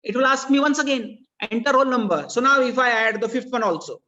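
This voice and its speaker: A man's voice: extremely high pitch, fairly quick, bright, forceful tone. A man with an Indian accent teaching programming in a live online lesson, explaining didactically and repeating phrases for emphasis. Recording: dull, lo-fi online meeting audio.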